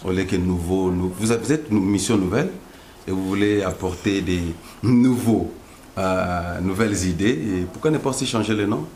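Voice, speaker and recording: A man with a Congolese accent hosting a political interview, putting drawn-out rhetorical questions. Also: low voice, a little mellow, a little hiss or hum. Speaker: host.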